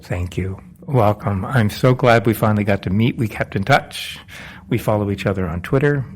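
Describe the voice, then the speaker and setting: A man's voice: on the low side, moderate pace, slightly rough. A man hosting a podcast interview, telling how he met his guest in a conversational way, indoors at a culture centre. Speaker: podcast host.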